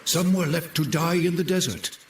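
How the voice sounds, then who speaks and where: An actor playing an earnest, loyal priest and ally in a video game. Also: male voice, a little high, normal speed, a little hiss or hum, natural voice. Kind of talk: scripted video game cutscene acting. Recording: slightly distant from mic.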